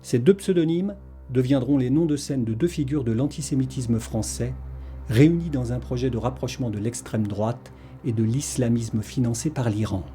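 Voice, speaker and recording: A man's voice: mid-range voice, normal speed, warm tone, faint music behind. A man reading a scripted historical documentary narration as its narrator.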